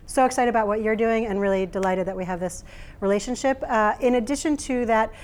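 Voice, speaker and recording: An American woman in her thirties giving a live talk to an audience, animated and laughing as she makes her points. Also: on the high side, fairly quick. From United States. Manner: animated, lively, laughs while making points. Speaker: keynote speaker. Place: workspace event room.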